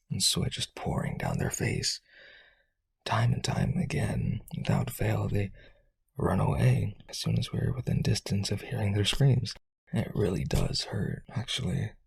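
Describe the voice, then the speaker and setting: Man acting a husky, low-voiced lovesick vampire. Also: dry recording, exaggerated voice. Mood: hurt, wistful. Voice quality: husky, breathy low voice, often near whisper. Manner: halting, earnest pleading. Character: lonely, lovesick male vampire aristocrat, pleading and obsessive. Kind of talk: romantic audio roleplay, spoken to listener.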